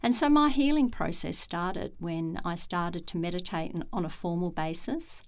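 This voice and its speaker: A middle-aged woman, a former executive turned meditation teacher, speaking in a calm, measured and thoughtful way with frequent pauses. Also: lowish voice. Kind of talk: reflective interview talk.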